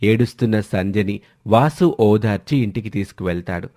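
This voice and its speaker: A man's voice: on the low side, normal speed, dark tone. A man narrating a scripted dramatic family story as a storyteller.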